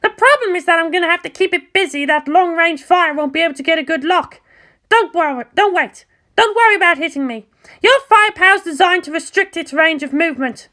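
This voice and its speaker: A woman's voice: very high voice, lively intonation, fairly quick, bright, forceful tone, pitched above the performer's own voice, heavily acted. A woman voicing a brash, fiery teenage girl mecha pilot in a story, blunt and sarcastic. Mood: grim, forceful. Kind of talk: acted story dialogue.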